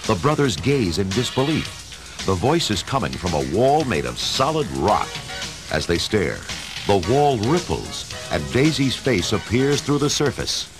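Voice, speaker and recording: A man's voice: on the low side, very animated, a little slow. A man narrating a scripted story dramatically, as in a radio play. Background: music.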